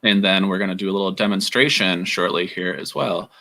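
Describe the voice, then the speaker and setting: A man's voice: low-pitched, brisk, gravelly, sharp tone. A man working as a studio coordinator, interviewing a guest in a casual, upbeat way with many fillers.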